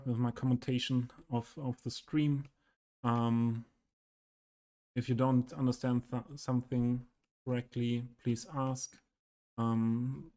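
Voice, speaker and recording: A man's voice: mid-range voice, dark tone, clean tone. A man with a Western German accent, a native German speaker streaming live and talking through his city build, hesitating often and searching for words. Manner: halting, many ums, searching for words. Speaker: game streamer. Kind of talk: casual live stream commentary.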